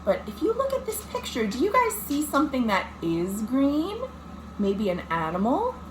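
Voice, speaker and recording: A woman's voice: high voice, huge pitch swings, normal speed. A woman, a children's librarian, reads a picture book aloud with dramatic emphasis, speaking directly to young listeners. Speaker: children's librarian hosting storytime. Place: indoors at home. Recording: noisy amateur home recording.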